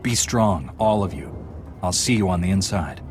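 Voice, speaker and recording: Calm earnest jedi hero, lowish voice, video game music behind. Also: male voice. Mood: resolute. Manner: earnest, measured, resolute. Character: steadfast, composed young male Jedi knight hero. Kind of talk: sci-fi video game acting.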